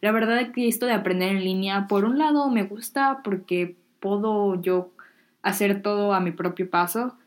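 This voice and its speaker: A woman's voice: medium pitch, normal speed. A teenage girl from Mexico with a Mexican accent, talking casually and candidly to her viewers, dropping slang and poking fun at herself. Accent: Mexican Spanish. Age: teens. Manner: chatty, candid, self-deprecating, uses Mexican slang. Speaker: vlogger. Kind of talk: casual talk to camera.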